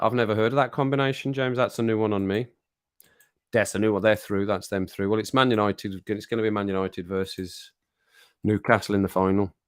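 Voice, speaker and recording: Chatty man with a slightly croaky voice. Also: lowish voice, a bit bright. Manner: rambling, chatty, laughs often. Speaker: livestream host reading chat. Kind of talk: casual chat to a live audience. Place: at home with his pets. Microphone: home streaming mic with compressor.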